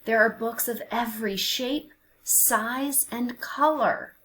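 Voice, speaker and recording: Slow-paced woman reading a children's storybook. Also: on the high side, a bit bright, rough recording. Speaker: children's read-aloud narrator. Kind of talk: expressive storybook narration for young children.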